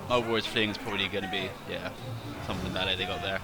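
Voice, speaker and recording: A man's voice: sits low, steady tone, fast talker, noisy background. A man with a slightly congested, stuffy voice giving casual replay commentary on a strategy game, rambling and correcting himself as he goes. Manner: rambling, self-correcting, thinking aloud. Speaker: gaming channel host.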